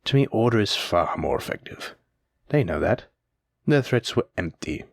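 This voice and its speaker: A man playing a stern, teasing demon lord in a scripted audio roleplay, speaking in a measured, commanding way. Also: lowish voice, sing-song, creaky, no room sound, studio quality, exaggerated voice. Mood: questioning, displeased.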